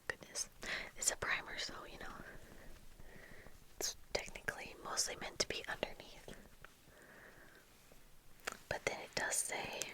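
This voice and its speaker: A young woman whispering a casual, rambling ASMR get-ready chat, breathy and unhurried, close to the microphone. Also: rough recording. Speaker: ASMR video creator. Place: small indoor room with bookshelves. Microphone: close fluffy-covered ASMR microphone, earphones worn.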